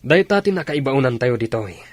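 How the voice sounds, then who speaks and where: Firm Filipino army officer. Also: male voice, mid-range voice, big pitch swings, quick pace, no echo. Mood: firm, urgent. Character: commanding military officer, firm and alert, briefing troops. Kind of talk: Ilocano radio drama acting.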